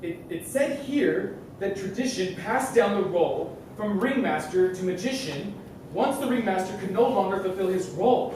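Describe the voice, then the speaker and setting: A man's voice: sits high, expressive, moderate pace, recorded from a distance, noisy background. A man playing a suspicious, urgent investigator in a theatrical stage play, declaiming his lines. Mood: frustrated disbelief. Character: suspicious, urgent male investigator tailing a circus showman. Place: indoor theater stage, distant mic. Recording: echoey theater from afar, music behind.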